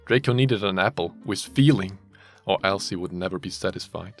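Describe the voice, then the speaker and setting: A man's voice: low voice, normal speed, faint music behind. A man narrating a written story in a group reading, breaking off into casual, sweary banter. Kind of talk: scripted story reading mixed with joking chat.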